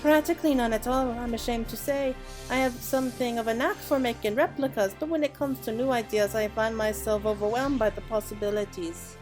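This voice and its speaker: A woman reads as an earnest young apprentice from a fantasy game. The crafter sounds polite and self-effacing in a higher voice, with faint music underneath. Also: steady tone, fairly quick, few pauses, natural voice. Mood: modest, hesitant.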